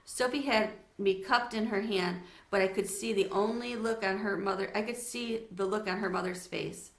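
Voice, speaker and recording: A woman narrating a children's story aloud in an expressive read-aloud style. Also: mid-range voice, normal speed, reverberant.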